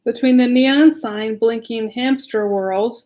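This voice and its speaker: A woman's voice: a little high, fairly even intonation, slow, dark tone. A woman casually reading a children's story at home in a chatty, giggly manner, often breaking off to talk to her listeners.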